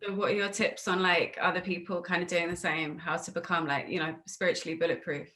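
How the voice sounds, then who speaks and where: A woman's voice: lowish voice, flat delivery, brisk, sharp tone. A woman hosting an interview in a casual, chatty style. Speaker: interviewer, host. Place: remote video call.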